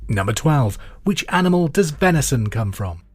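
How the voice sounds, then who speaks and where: A middle-aged man hosting a pub quiz podcast, reading out trivia questions and answers in a clear, deliberate way. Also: mid-range voice, big pitch swings, dry recording. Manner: clear deliberate delivery, repeats each question. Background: music bed.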